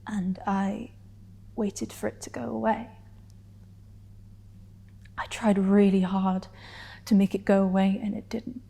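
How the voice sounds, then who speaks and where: A woman's voice: mid-range voice, little pitch movement, warm tone. Hurt, defiant woman, acted out in reverberant room. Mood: confessional, tender. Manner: intense, halting, drops to breathy whispers. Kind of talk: dramatic stage monologue.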